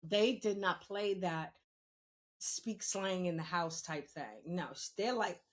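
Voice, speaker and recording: A woman's voice: lowish voice, slow pace. Assertive New woman in her fifties. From New York City, born in the Bronx and raised in Queens. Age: 50s. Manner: assertive, emphatic, repeats phrases for emphasis. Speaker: podcast host. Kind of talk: candid conversational monologue.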